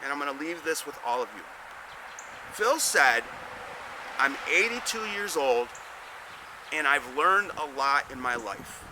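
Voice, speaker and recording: A rambling man talks business advice in a loose, folksy monologue, stumbling and repeating words as he goes. His voice sits a bit high for a man. Steady traffic noise from outdoors runs clearly behind him. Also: a little slow, pauses often. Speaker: host giving business advice. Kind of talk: casual monologue to camera.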